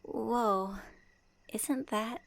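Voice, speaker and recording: A woman playing a gentle, thoughtful young swordswoman traveler, speaking softly to the listener in a fan audio roleplay. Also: fairly high pitch, very slow, creaky, no echo, full character voice. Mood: curious. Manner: soft, reflective, addresses listener directly.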